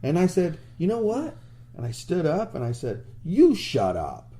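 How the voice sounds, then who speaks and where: A man co-hosting a podcast, telling a story in a blunt, animated way with crude jokes. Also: a little high, unhurried. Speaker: podcast co-host. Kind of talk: casual chat.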